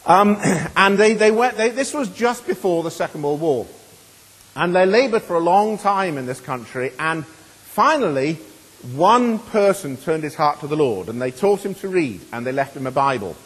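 A middle-aged man preaching a sermon in a church, earnest and emphatic, swinging between loud and hushed. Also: a little high, lively intonation, moderate pace, slightly rough. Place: church hall. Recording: long hall reverb.

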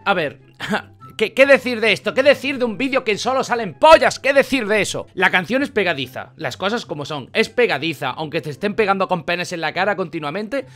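High-pitched young Spanish man, animated. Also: a little fast, faint music behind. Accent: Spanish (Spain). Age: 20s. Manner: animated, jokey, repeats words for effect. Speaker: YouTuber host. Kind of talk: casual reaction video commentary. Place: small bedroom, indoors. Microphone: desk condenser microphone, close.

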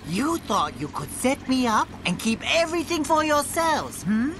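A man's voice: extremely high pitch, very animated, background noise. An actor playing a menacing, flamboyant male pirate in a video game, theatrical and mocking. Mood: mocking, suspicious. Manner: theatrical, taunting, drawn-out. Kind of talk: video game villain acting. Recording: game music behind.

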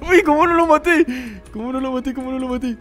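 A young man chatting casually with friends while playing a video game, excitable and playfully teasing. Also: very high-pitched, fast, faint music behind. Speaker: gaming video host, player. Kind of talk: casual gameplay chat with friends.

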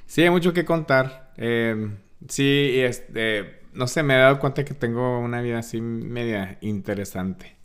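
A man in his thirties from Texas, a guest on a casual podcast, relaxed and hesitant, speaking into a studio mic. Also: medium pitch, measured pace, clean tone. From El Paso, Texas. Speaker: guest, actor and singer. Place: small decorated studio room. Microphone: studio mic on boom arm, headphones.

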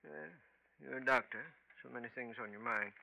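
Sharp-voiced, wary radio janitor. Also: male voice, medium pitch, normal speed, vocal fry, low-quality recording. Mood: wary, laconic. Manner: laconic, matter-of-fact. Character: plain-spoken, wary male college janitor. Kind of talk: 1950s radio acting. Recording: 1950s radio drama recording.

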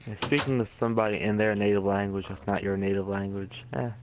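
A man's voice: lowish voice, steady tone, brisk, a little hiss or hum, poor audio. A young man streaming a video game, chatting casually, rambling and laughing at himself often. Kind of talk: casual gaming chat. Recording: music playing behind.

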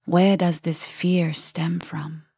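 A woman with a low, husky voice leads a guided meditation, often sinking toward a whisper. Her words come softly and gently, with long pauses and plenty of gravelly fry. The sound is close and very dry. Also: flat delivery, dry recording. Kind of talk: guided meditation, soft and soothing delivery.

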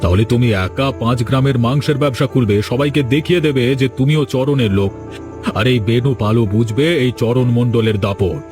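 A man's voice: mid-range voice, fairly quick, music in the background, lightly acted. Man acting a domineering cartoon village boss. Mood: patronising. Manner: patronising, self-important.

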